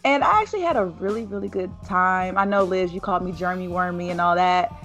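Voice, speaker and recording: A young woman hosting a casual podcast chat, her voice raspy, laid-back and laughing often. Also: lowish voice, quick pace, fairly bright tone, soft background music. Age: young adult. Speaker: host.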